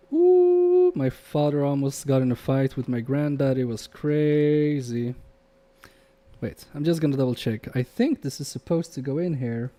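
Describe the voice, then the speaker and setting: Chatty man, mellow voice, Polish native. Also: medium pitch. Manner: chatty, reads chat aloud, trails off muttering while working. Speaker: livestream repair host. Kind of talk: casual live-stream chat while repairing.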